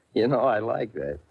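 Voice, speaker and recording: A cocky young gangster boss from a radio crime drama. He swings widely in pitch as he barks slangy, bossy orders with tough swagger. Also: gravelly, husky, phone-quality sound, heavily acted. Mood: commanding. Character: brash, cocky young gangster boss posing as a dead leader. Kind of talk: old-time radio crime drama acting.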